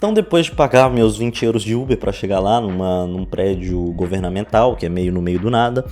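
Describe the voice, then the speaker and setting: A man's voice: lowish voice, a little fast, a little mellow. A man in his twenties with a Brazilian Portuguese accent, telling his experiences in a casual, conversational vlog style. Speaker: vlogger. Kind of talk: casual storytelling to camera. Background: background music.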